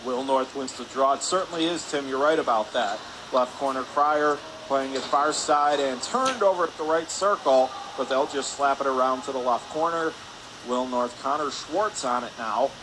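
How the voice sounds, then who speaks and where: A man doing live radio play-by-play of a hockey game in brisk, clipped calls. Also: mid-range voice. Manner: brisk clipped present-tense calls, rising on shots. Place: indoor ice rink. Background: loud arena ambience noise.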